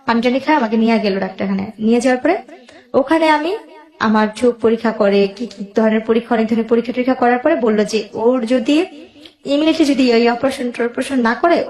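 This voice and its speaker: A woman's voice: fairly high pitch, darkish tone, echoey room, rough recording. A woman with a Bangladeshi accent tells her own life story as a guest, emotionally, quoting both sides of conversations as she goes.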